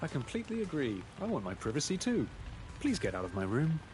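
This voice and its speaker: A man voicing an inquisitive, mannered pig detective in a video game, musing in drawn-out, politely curious remarks. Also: a little high, wildly swooping pitch, noisy background. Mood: politely firm. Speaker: game character. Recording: loud music behind the voice. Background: loud game music.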